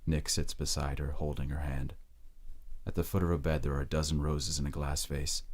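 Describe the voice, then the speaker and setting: A man narrating an audio drama in a grave, measured, dramatic storytelling manner. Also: very deep voice, level tone, a little mellow.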